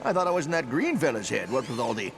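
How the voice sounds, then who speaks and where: An actor playing an eccentric, cheerful old plumber in a video game, rambling along in folksy colloquial talk. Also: male voice, medium pitch, sing-song, quick pace, vocal fry. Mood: cheerful, puzzled. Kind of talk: video game cutscene acting.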